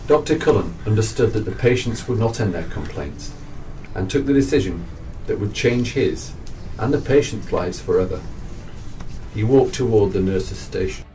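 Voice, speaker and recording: Lowish male drama narrator, distant mic. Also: animated, normal speed, dark tone. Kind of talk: scripted radio drama narration. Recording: distant microphone under clear music.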